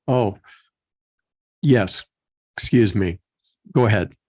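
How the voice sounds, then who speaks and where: Deep-voiced man chairing a council meeting. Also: takes it slowly, darkish tone. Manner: courteous and appreciative. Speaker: council presiding officer.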